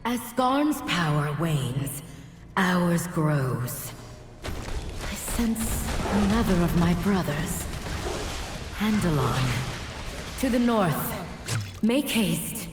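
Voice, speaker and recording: An actress playing a stern angelic spirit in a video game, solemn, stately and commanding. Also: female voice, on the low side, big pitch swings, extremely slow, pauses often, exaggerated voice. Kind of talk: video game fantasy acting. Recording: echoing, long reverb, music behind.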